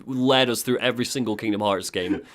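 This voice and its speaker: A man's voice: lowish voice, a little fast. A man hosting a podcast, retelling a story in a jokey, theatrical, casual way amid banter with friends.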